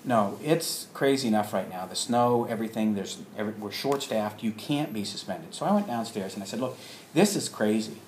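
A man with a fairly low voice tells a personal anecdote, halting between phrases and playing out both sides of remembered dialogue. The recording is roomy and echoey, with some faint noise behind him. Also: animated, darkish tone. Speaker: storyteller, alternative health practitioner. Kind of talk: personal anecdote told to listeners. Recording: roomy echo with background noise.

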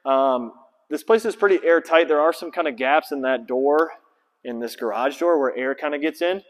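A man's voice: on the high side, normal speed. A man in his thirties, a basketball trainer, casually walking through his gym and explaining with lots of fillers, on a lapel mic. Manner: casual, filler-heavy, explaining and pointing things out. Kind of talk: casual walkthrough vlog. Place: large empty indoor basketball gym. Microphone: clip-on lapel mic. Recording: long reverb of a big empty gym.

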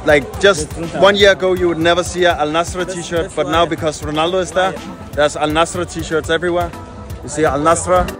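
A man's voice: fairly high pitch, fairly even intonation, moderate pace. A man in his thirties vlogging as he walks through a busy outdoor market, chatty and upbeat, greeting people as he passes. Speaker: travel vlogger. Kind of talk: walking vlog to camera. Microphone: handheld action camera at arm's length. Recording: music under voice. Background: music bed.

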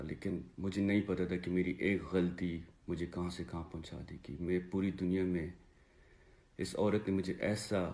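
A man in his thirties with a Pakistani accent, giving an earnest, halting personal statement to camera and repeating phrases for emphasis. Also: low-pitched, darkish tone. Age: 30s. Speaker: father making a personal video appeal. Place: small indoor room, seated before a plain wall.

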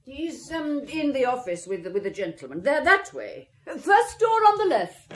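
A flustered woman in an old radio play. Her voice is very high and swoops around, in an echoey recording. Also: big pitch swings, moderate pace. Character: flustered woman at an engraver's house. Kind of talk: old radio drama acting.

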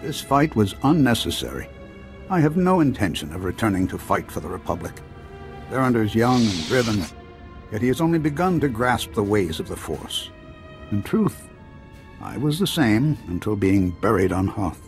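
A man voicing a serene, proud Jedi master in a video game, speaking in a measured, formal, philosophical way. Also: lowish voice, sing-song, soft background music. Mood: serene, resolute.

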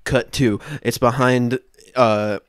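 A young man improvising scene narration for a comedy podcast, halting as he searches for words. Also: mid-range voice, level tone. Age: young adult. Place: small room at home, video call.

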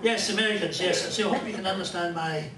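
An older man with a Scottish accent telling jokes and a rambling story at a stand mic on a small club stage, in a dry, unhurried way. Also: high voice, a crowd in the background. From Scotland. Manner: dry, rambling joke delivery with pauses. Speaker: comedian and musician. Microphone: stand mic, filmed from a distant camera. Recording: roomy club sound from far off.

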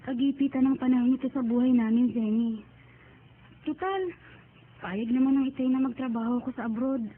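An actress playing a dutiful, devout young Filipino daughter in a radio drama, earnest and respectful. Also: female voice, high-pitched, moderate pace, long pauses, close to an everyday voice. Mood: earnest, resolute. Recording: tinny narrow band, background noise.

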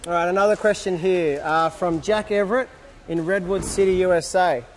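Brief: young male host, slightly high voice, cheerful and jokey, outdoor handheld vlog. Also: fairly even intonation, moderate pace. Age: young adult. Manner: cheerful, joking, reads questions aloud. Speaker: sailor hosting a fan Q&A. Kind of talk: casual on-camera chat. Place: outdoors at a boat tent by a harbour. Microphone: handheld camera close up.

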